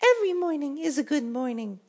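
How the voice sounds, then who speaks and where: A man voicing an excitable male beetle from a club, squeaky and shouting, in a storybook reading. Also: brisk. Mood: cheerful.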